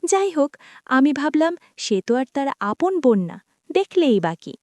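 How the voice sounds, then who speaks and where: Lively Bengali-speaking woman storyteller, dry sound. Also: sits high, a little slow, no room sound. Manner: confiding, addresses listeners as friends. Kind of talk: first-person story narration.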